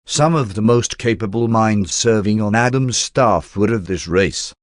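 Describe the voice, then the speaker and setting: A man reads aloud in the style of a formal audiobook. His voice sits on the low side, and the delivery is measured and expository. The sound is dry, with little room around it. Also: no room sound. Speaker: audiobook narrator. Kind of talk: scripted narration, reading a religious text aloud.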